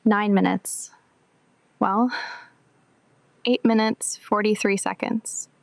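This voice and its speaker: A woman's voice: mid-range voice, fairly even intonation, slow, vocal fry, dry recording. An actress playing a laconic young woman hacker who speaks in terse, cryptic statements. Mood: deadpan. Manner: terse, cryptic, matter-of-fact. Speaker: hacker in a group of conspiracy theorists. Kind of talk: audio drama acting.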